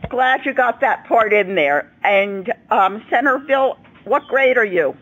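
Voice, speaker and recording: A woman with a fairly high voice that has a husky, rough edge. She is moderating an educational program, making introductions in a measured and organized manner, like a practiced public speaker. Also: expressive, a bit bright, poor audio. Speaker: host and moderator. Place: school room, live videoconference.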